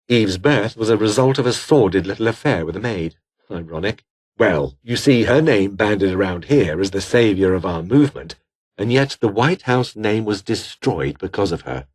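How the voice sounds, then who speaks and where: A man's voice: fairly low pitch, lively intonation, normal speed. A male actor playing a haughty, bitter, scheming fallen aristocrat and rebel officer in a video game, with a British accent and a pompous, sneering, formal manner. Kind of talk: video game character dialogue.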